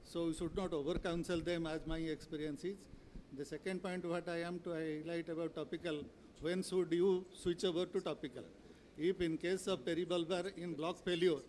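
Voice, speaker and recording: Guy surgeon, insistent, kinda high voice, soft background hiss. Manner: insistent, advising. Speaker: eye surgeon on a conference panel.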